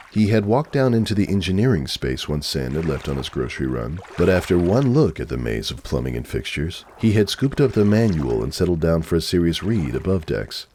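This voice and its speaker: A man's voice: deep voice, dark tone, slight background noise. A man narrating a story from a script as a dramatic reading.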